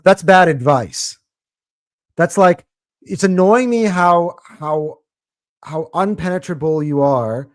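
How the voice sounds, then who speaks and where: A man's voice: on the high side, slow, no echo. A man with an American accent co-hosting a casual sports podcast, talking animatedly and rambling with many fillers. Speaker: podcast co-host.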